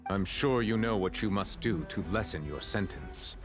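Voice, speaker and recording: Measured, formal chief justice. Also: male voice, deep voice, expressive, over music. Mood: stern. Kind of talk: video game voice acting.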